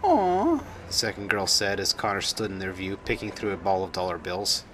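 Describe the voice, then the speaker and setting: A man's voice: deep voice, flat delivery, brisk, pushed and bright, a little hiss or hum. A man reading his own story aloud as a scripted narration.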